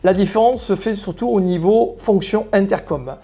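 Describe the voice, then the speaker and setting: A man's voice: high voice, moderate pace, dark tone, slightly rough. A man giving an explanatory, semi-scripted product presentation straight to viewers as a shop presenter. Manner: explanatory, addressing viewers directly.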